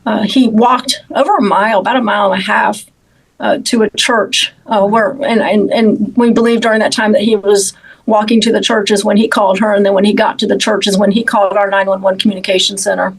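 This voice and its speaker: Halting, measured woman speaking as police official. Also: mid-range voice, flat delivery, creaky, slightly rough, rough recording. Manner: halting, measured, repeats words. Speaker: law enforcement official. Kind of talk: interview answers about an investigation.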